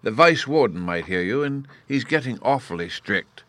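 Man playing a flustered old storybook professor, pitched high. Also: lively intonation, moderate pace, a bit bright, no echo, lightly acted. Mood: kindly. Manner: flustered, pedantic. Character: excitable, absent-minded, kindly old male professor.

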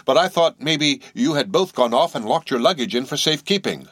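A man voicing a flustered, fussy chambermaid woman who cries out in shrill exclamations. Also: expressive, brisk, a bit bright, dry recording, studio quality. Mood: fretful.

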